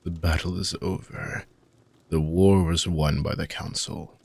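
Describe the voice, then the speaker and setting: A man playing an ancient, weary dark mage villain in a fantasy audio roleplay, husky and gravelly, speaking theatrically with long dramatic pauses. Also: deep voice, fairly even intonation, full character voice. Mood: brooding, bitter. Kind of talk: fantasy audio roleplay monologue addressed to listener.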